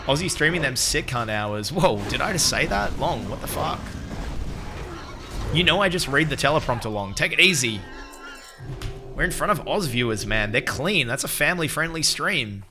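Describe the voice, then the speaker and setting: Excitable man streaming a game. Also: mid-range voice, normal speed, background noise. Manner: excitable, swears, shouts out chat members. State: recovering from losing his voice. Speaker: live-streamer playing a game as tank. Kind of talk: casual gaming stream chat.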